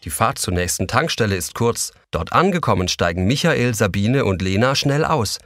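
A man's voice: fairly low pitch, lively intonation, moderate pace, a bit bright, soft background music. A man narrating a scripted children's story as the narrator of an audio drama.

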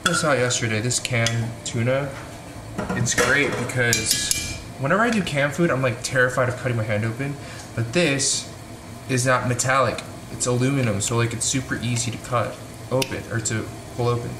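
A man's voice: mid-range voice, normal speed. Chatty young man cooking in an echoey kitchen. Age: young adult. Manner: chatty, self-deprecating, thinks aloud while cooking. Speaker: vlogger cooking at home. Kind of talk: casual cooking vlog. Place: home kitchen, indoors. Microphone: camera mic at a distance. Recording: echoey kitchen with frying noise. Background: sizzling pan and background music.

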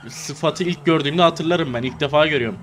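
A man's voice: on the high side, brisk, fairly bright tone, slight background noise. A man bantering in online game roleplay, with a teasing, mock-innocent manner.

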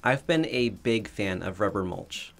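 A man's voice: fairly low pitch, lively intonation, a little slow, vocal fry. A man, narrating his backyard renovation in a plain, matter-of-fact voice-over. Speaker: DIY home renovation vlogger. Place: voice-over, not recorded in the pictured yard. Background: soft background music.

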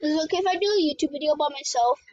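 A young girl hosting her gaming channel, chatting excitedly and sometimes shouting over the game. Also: high voice, rapid-fire, dry recording. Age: child. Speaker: channel host. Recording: muffled laptop mic, noisy room.

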